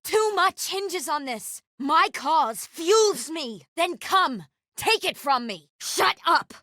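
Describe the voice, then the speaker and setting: A woman voicing a solemn, honorable warrior in a video game, speaking in a formal, measured and earnest way. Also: high voice, very animated, bright, forceful tone, dry recording, studio quality, heavily acted. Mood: fierce, determined. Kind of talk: scripted game character dialogue.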